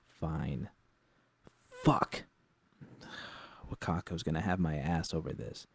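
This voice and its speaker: A man voicing a guarded young woman mercenary in an audiobook story, speaking in a terse, slangy, low-key way. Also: slow, vocal fry, slightly rough, exaggerated voice. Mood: grudging.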